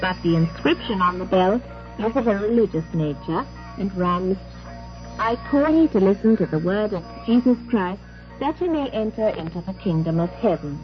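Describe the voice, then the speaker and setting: A woman's voice: mid-range voice, expressive, normal speed, lo-fi sound, soft background music. A woman reading a scripted program as a 1960s shortwave radio announcer, speaking formally with careful enunciation.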